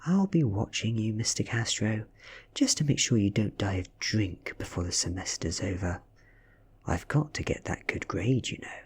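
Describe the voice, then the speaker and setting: A British man voicing an ancient female vampire posing as a schoolgirl, soft-spoken, coy and taunting, in an audiobook reading. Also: on the low side, animated, normal speed, husky, exaggerated voice. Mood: teasing.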